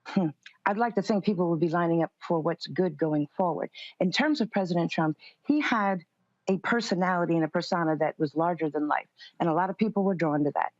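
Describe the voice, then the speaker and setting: A woman's voice: fairly low pitch, brisk, no room sound. A woman speaking as a political interview guest, firm, assertive and guarded in her answers. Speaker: political guest, conservative commentator. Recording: slightly dull, dry lo-fi audio.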